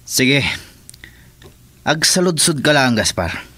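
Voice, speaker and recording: A bantering henchman played by a man in a radio drama. He has a medium-pitched voice and a casual, teasing delivery. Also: big pitch swings, slow pace, bright, forceful tone, heavily acted.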